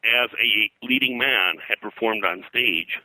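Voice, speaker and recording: Older man, very sharp bright tone, dry close sound, digressive storytelling, hesitant phrasing. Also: on the low side, unhurried, no echo, low-quality recording. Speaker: pop culture historian, interview guest. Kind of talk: anecdotal interview talk.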